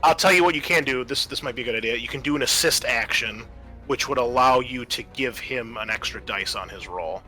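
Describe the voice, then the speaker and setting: A man's voice: mid-range voice, fairly quick, sharp tone, poor audio. A man running a tabletop roleplaying game as game master, narrating scenes and explaining the rules to players. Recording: music under the voice. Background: music.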